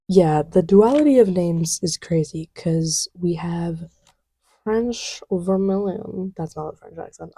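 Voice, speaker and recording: A woman playing a playful girlfriend in an intimate ASMR roleplay, rambling casually and giggly, often dropping to soft murmurs close to the microphone. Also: fairly low pitch, slightly rough, no echo, heavily acted. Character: giggly, playful girlfriend testing markers on boyfriend's lap.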